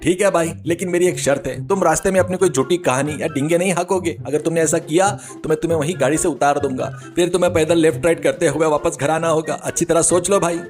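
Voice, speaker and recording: A man's voice: a little high, steady tone, quick pace, hardly any pauses, darkish tone, faint music behind, lightly acted. A man playing an exasperated, short-tempered village man who grumbles and scolds impatiently in a children's story. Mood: wary, grumbling.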